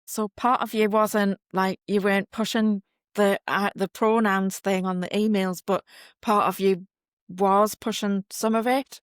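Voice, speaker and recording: A Geordie woman hosting a conversational interview. She rambles chattily, padding her questions with filler words, at an ordinary middle pitch in a dry, close recording. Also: level tone, unhurried, no echo. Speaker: interview host.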